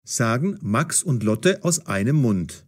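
A man's voice: medium pitch, big pitch swings, slow. A man narrating a scripted story as the narrator of an audio drama.

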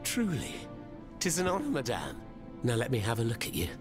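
An actor playing a mocking, zealous revolutionary in a video game, speaking in a flowery, sardonic manner. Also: male voice, mid-range voice, huge pitch swings, a little fast. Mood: flattering, theatrical. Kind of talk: video game cutscene acting. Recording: game music behind the voice.

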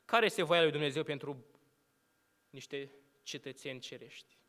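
A young male preacher speaks from a lectern in a church hall. His clear, bright voice rings out with a long reverb trailing each phrase. He builds rhetorical questions and lets his sentences sink to a hushed close. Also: a little high. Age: young adult. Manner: measured rhetorical preaching, rising on questions and dropping to hushed endings. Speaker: student preacher. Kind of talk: sermon. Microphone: lectern microphone.

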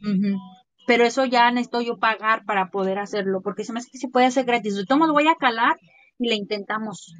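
A woman's voice: fairly high pitch, fast talker, dry recording. A woman, hosting a podcast in a rambling, chatty way with many restarts. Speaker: podcast host. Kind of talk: casual chat.

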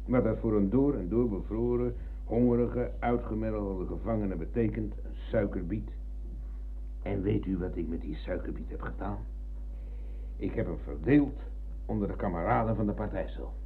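An actor playing a proud, touchy older comrade and former prisoner of war, insistent and emphatic, in a Dutch radio drama. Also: male voice, fairly low pitch, normal speed, a little hiss or hum, natural voice. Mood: reminiscing, eager. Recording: muffled, dull old radio recording.

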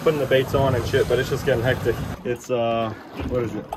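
A young man talking casually and excitedly while filming a fishing trip on a boat, swearing freely. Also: medium pitch, brisk. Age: young adult. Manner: excited, swears casually, calls people bro. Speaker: fishing vlogger. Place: open boat at sea, outdoors. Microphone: handheld phone camera. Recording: loud wind and boat noise.

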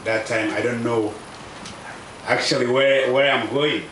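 South Sudanese man in his thirties, halting non-native English, outdoor tent mic, echoey and distant with background noise; personal testimony. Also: medium pitch, steady tone, fairly bright tone. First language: a South Sudanese language. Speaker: refugee speaker. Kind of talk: personal testimony at a public event. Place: outdoors under a tent canopy at a camp. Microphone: table microphone on stand.

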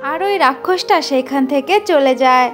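A woman's voice: high-pitched, moderate pace, over music. A woman storyteller reading scripted narration for a children's cartoon.

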